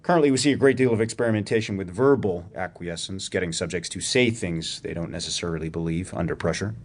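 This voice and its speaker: An actor playing a wry, probing academic research professor in a radio drama, with a dryly sardonic, precise way of talking. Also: male voice, low voice, fast. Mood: serious, exacting. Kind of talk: radio theatre acting, dramatic dialogue.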